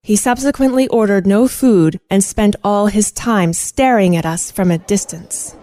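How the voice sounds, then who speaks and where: A woman's voice: mid-range voice, a little slow, dark tone, close to an everyday voice. A woman playing an earnest, inquisitive teenage girl who narrates and talks in a formal, proper way in a radio play. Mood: storytelling. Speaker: narrator and sidekick.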